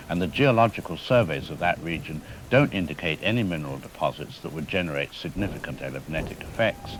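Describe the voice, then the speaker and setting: A man playing a cautious, troubled scientist in a scripted radio drama, explaining technical matters in measured, formal language. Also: sits low, low-quality recording. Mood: analytical, guarded. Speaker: expert guest. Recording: steady rain-like background noise.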